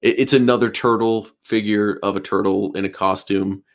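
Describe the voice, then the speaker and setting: Gravelly deep-voiced man in his twenties. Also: steady tone, moderate pace. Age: 20s. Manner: enthusiastic, repeats words at starts of sentences. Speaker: podcast co-host. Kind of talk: casual chat.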